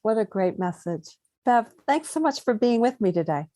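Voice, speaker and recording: A woman's voice: on the high side, animated, normal speed, dark tone, dry recording. A woman hosts an interview, offering brief encouraging affirmations between questions. Her voice is recorded dry and close, with no room around it. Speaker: podcast host.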